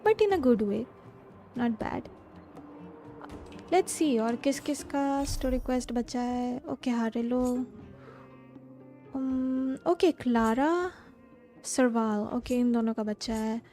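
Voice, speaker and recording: A woman's voice: fairly high pitch, darkish tone. A woman with an Indian accent chatting casually as she streams a game. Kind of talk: casual livestream chat, reading game lines aloud.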